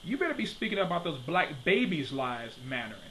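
A man's voice: fairly high pitch, sing-song, fairly quick, pushed and bright, a little hiss or hum. A man in his thirties from Detroit, giving an emphatic preaching-style commentary to a webcam in his home study and repeating his questions for force. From Detroit, USA. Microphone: webcam at desk.

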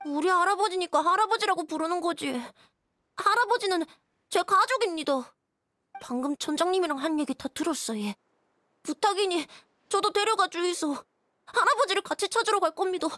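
Stubborn young village boy, high and pleading. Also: normal speed, bright, forceful tone, no room sound, studio quality. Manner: earnest, pleading, insistent. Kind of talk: game dub voice acting.

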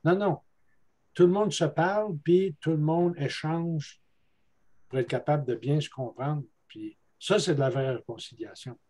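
Older Quebec French man on a video call, slightly high, mellow, rambling. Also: measured pace, rough recording. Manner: rambling, repeats words while searching for them. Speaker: guest speaker, traditional elder. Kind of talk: casual talk at a videoconference. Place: room at home with bookshelves. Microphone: earbuds on a video call.

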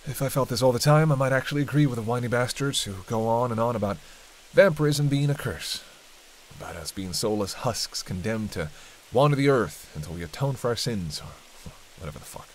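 A man's voice: mid-range voice, brisk, close to an everyday voice, rough recording. A man playing a sardonic, teasing vampire in an intimate roleplay, talking in a conversational, bantering way with dry sarcasm. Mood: weary, wry. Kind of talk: intimate audio roleplay monologue. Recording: steady hiss and rain behind. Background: rain.

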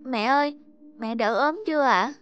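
A woman voicing an eager, kind little boy in an animated fable, polite and coaxing. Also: high voice, moderate pace, clean tone, dull audio. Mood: caring. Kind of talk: animated moral fable voice acting. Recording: music behind the voice.

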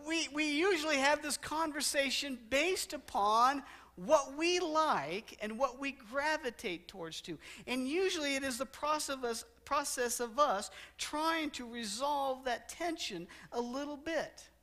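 Middle-aged guy pastor preaching in a church, walks around, leans on words and repeats them slow. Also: extremely high pitch, expressive, sharp tone. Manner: preaching while walking the stage, gesturing broadly; repeats and stresses words, slows down for emphasis. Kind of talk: sermon. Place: church sanctuary.

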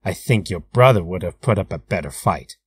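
A man's voice: low voice, lively intonation, a little fast, husky, voice pushed down. A man voicing a confident, disciplined martial arts hero in a cool, self-assured way for a game character reel.

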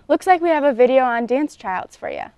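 A woman's voice: high voice, wildly swooping pitch, brisk, darkish tone, clean tone, no room sound. Young woman reading school announcements. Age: young adult. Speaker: student news anchor. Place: studio with curtain backdrop.

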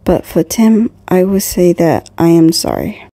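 A woman's voice: lowish voice, a little slow, dark tone, no echo. A woman voicing a brash, loyal, combative young boy in a fan comic dub, with a blunt, argumentative manner. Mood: grudging, earnest.

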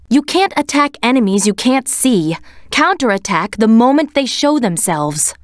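A woman voicing a serious, composed young female cat in a video game, speaking in a measured, commanding way. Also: fairly high pitch, no room sound, studio quality, lightly acted. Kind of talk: scripted game voice acting, tactical hints.

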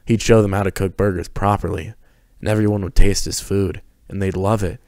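A man's voice: sits low, level tone, a little fast, a little mellow, dry recording, clean studio sound. A man reading scripted fiction aloud as the narrator of a dramatic story.

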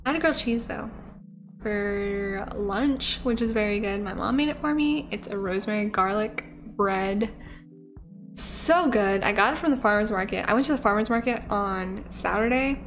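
A woman's voice: medium pitch, normal speed, soft background music. A young woman streaming from her living-room couch into a studio mic, rambling casually with lots of likes and ums. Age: young adult. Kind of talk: casual chat. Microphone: studio mic on a stand.

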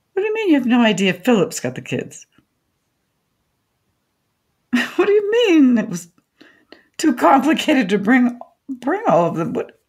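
An actress playing an opinionated, garrulous older widow in a comedic radio play, with a wordy, theatrical, dryly sardonic delivery. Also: female voice, high voice, slightly rough, exaggerated voice. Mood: flustered. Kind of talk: comedic radio drama acting.

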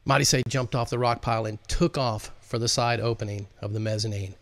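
A man in his fifties speaks close to a studio microphone with a dry, echo-free sound. His voice sits at an average male pitch, suited to informal live-stream hosting and audiobook narration. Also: fairly even intonation, a little fast. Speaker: author and host reading his audiobook. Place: indoor studio, close up, virtual backdrop. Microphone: large microphone on a stand in front of him.

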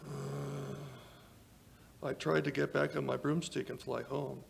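An older man playing a frail, dazed old villager, rambling haltingly in a radio drama. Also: mid-range voice, little pitch movement, gravelly, a little hiss or hum. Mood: weak, wistful. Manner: halting, drawn-out delirious rambling. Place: small indoor stage, live performance. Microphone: vintage-style stand microphone.

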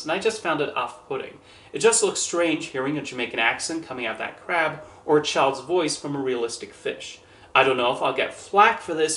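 A man in his thirties delivering a scripted movie review to camera in a measured, earnest way, standing in a small room. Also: medium pitch, fairly bright tone, echoey room. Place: small indoor room with posters and shelves. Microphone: camera at a few feet, standing.

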